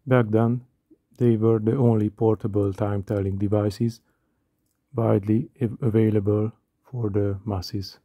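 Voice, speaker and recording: A man in his thirties from Hungary with a Hungarian accent, calmly narrating a scripted voice-over about watches. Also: on the low side, a little slow, no room sound. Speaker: watch collector.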